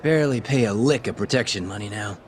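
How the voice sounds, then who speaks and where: An actor playing a smug, corrupt police detective who sells information, breezy and teasing, in an English video game dub. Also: male voice, on the low side, fast, close to an everyday voice. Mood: breezy, cocky. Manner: breezy, cocky, wry, teasing.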